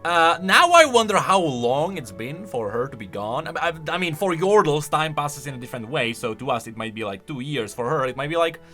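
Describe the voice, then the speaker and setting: A man with a bright, fairly high voice commentates casually on game lore. His pitch jumps around in an enthusiastic, thinking-aloud way, with restarts along the way and faint music underneath. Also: very animated, a little fast, few pauses.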